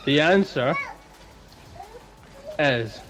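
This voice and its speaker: A man's voice: a little high, expressive, very slow, background noise. A man co-hosting a podcast, talking in a casual, chatty style. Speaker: podcast co-host. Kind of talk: casual chat.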